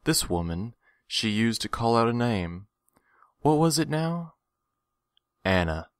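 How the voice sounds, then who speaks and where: A man playing a greedy, condescending villain in an audio drama, with theatrical, drawn-out phrasing. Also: mid-range voice, big pitch swings, no room sound, clean studio sound. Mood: sly, taunting. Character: menacing, greedy, smooth-talking villain stranger, adult man.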